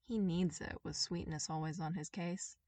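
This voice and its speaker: A woman reading a story aloud as an amateur audiobook narrator, in an expressive style. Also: on the low side, moderate pace, no room sound, distant mic.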